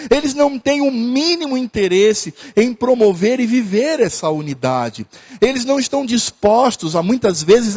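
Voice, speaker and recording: Pastor, male, high-pitched, earnest sermon delivery with repeated lines. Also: a little mellow. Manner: earnest preaching, repeats phrases, pauses with hesitations.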